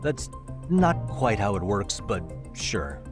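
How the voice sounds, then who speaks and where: A man's voice: fairly low pitch, sing-song, takes it slowly. An actor playing an easygoing adult male private detective in a video game dub, casual and dryly understated. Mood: dry, skeptical. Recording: music under the dialogue. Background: music.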